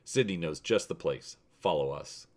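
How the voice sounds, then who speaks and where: A man voicing a gruff older asteroid prospector from a space adventure story, speaking in a blunt, folksy way. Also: a bass voice, expressive, normal speed, darkish tone. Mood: gruff, practical.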